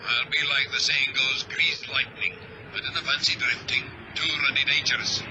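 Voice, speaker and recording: A man playing a breezy, gruff ship's engineer in an old radio drama, with colloquial, salty talk. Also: mid-range voice, fairly even intonation, normal speed, highs cut off, music in the background, lightly acted. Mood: breezy, grumbling. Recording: very noisy old radio recording.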